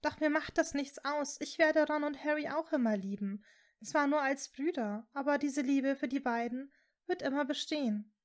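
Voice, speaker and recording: A woman voicing a young witch in love, speaking with soft, earnest emotion in a fantasy audiobook. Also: high-pitched, lively intonation, fast talker, few pauses, pitched above the performer's own voice. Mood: tender.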